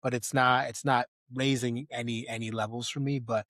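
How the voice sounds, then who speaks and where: A man's voice: medium pitch, little pitch movement, normal speed, a bit bright, no echo, clean studio sound. A man hosting a podcast in a casual, conversational film discussion. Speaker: podcast co-host.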